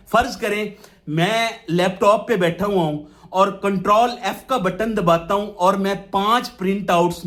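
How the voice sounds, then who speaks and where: A Pakistani man teaches in an explanatory, didactic style. His voice sits high for a man and swings widely in pitch as he puts questions and answers them himself. He slips English technical terms into his explanations. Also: fairly bright tone. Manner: switches between Urdu and English legal terms. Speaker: law lecturer. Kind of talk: explanatory law lesson with worked examples.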